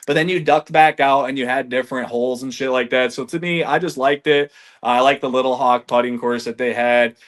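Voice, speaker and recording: Energetic, loose-talking guy in his thirties. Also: mid-range voice, fairly quick. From Michigan, US. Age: 30s. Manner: energetic, loose, swears casually, says "man" and "like" a lot. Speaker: podcast guest, golf reviewer. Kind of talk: casual chat.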